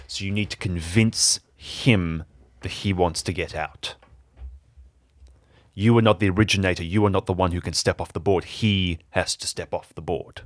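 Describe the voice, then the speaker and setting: Man voicing a low, blunt, cryptic parasite creature. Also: dry recording, clean studio sound, natural voice. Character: red-eyed parasite creature, cryptic, blunt, explaining guest.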